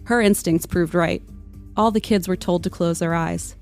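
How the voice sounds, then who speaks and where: A woman's voice: fairly low pitch, fairly even intonation, moderate pace, a little mellow. A woman narrating a scripted comedic tale in a mock-dramatic, literary manner. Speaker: podcast storyteller. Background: music under the voice.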